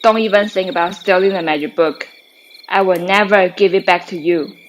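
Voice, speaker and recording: A woman's voice: on the low side, normal speed, a little hiss or hum, close to an everyday voice, low-quality recording. A woman playing a haughty, defiant fairy queen with a contemptuous manner in an amateur radio drama. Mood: scornful defiance.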